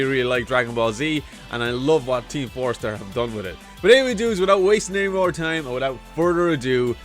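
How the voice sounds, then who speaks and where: A man hosting a casual reaction video, chatting in a hyped, fannish way and calling viewers dudes. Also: medium pitch. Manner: hyped, repeats lines he enjoys, says dude a lot.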